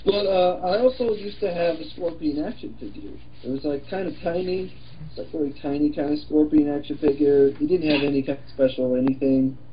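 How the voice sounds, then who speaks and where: A man's voice: on the high side, brisk, lo-fi sound, slight background noise. A man co-hosting a casual film chat with friends, rambling and swearing freely. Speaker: podcast co-host.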